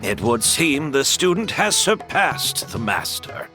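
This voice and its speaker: An actor playing an older master perfumer in a video game, gentle and modest toward a former student. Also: male voice, medium pitch, very animated, slow, over music.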